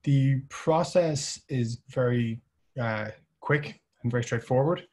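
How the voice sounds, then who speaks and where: A middle-aged man giving a measured webinar presentation over a video call, with many fillers and restarts. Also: medium pitch, a little mellow, husky. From Ireland. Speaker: company chief presenting at a webinar.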